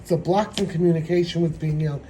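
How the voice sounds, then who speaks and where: A man with a hoarse, troubled throat giving a meandering, reflective spiritual tarot reading. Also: a little high, fairly even intonation, warm tone, slight background noise, low-quality recording. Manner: meandering, reflective, slow-spoken. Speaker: tarot reader.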